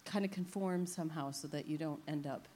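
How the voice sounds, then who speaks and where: A woman's voice: sits low, brisk, mellow, slight background noise. Earnest woman from the US asking a question. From United States. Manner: earnest, slightly hesitant questioner. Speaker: audience member asking a question. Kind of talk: question from the floor.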